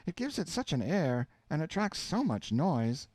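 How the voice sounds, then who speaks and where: Male reader playing a high-voiced, exclamatory housewife shouting her half of a phone call for a storybook reading; bouncy inflection. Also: sing-song, a little slow, dry recording. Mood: exclaiming. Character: chattering housewife on the telephone.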